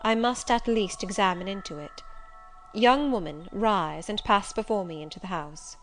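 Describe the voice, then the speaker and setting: A woman voicing a calm, authoritative gentleman in an audiobook, with a grave, formal and measured delivery. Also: medium pitch, slight background noise, close to an everyday voice. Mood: grave, composed. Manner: formal, commanding, composed. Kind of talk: audiobook character voice.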